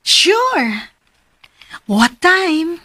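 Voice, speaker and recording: A high, slow, coy flirt. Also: female voice, big pitch swings, pushed and bright, heavily acted. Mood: flirty. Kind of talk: radio drama acting.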